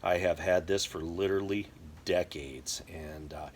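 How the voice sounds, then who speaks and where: Deep-voiced middle-aged American man. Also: steady tone, unhurried, slight background noise. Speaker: prepper video host. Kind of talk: casual explanatory talk to camera. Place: indoor workshop or garage.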